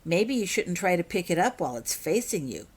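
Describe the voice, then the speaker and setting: A woman's voice: lowish voice, sing-song, brisk, slightly rough. A woman voicing a chatty, bookish schoolgirl who eagerly explains things, in a storybook reading. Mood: serious.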